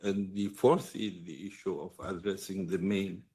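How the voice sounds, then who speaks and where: Deep, gravelly man speaking earnestly. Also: steady tone, moderate pace, warm tone. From Sweden. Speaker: audience commenter, activist and medical doctor. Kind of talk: impromptu comment at a political roundtable.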